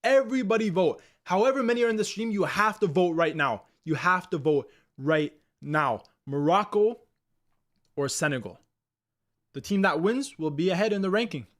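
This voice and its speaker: A man's voice: high voice, normal speed. A young man from Canada hosting a live stream, chatting casually and energetically with his viewers in slangy, repetitive talk. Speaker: livestream host.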